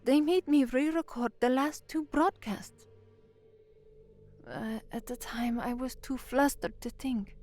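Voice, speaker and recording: A woman's voice: high voice, slow, darkish tone, faint music behind. An actress playing an anxious, frank young working-class woman, a trainee newsreader, in an audio drama with first-person narration. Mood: worried, flustered.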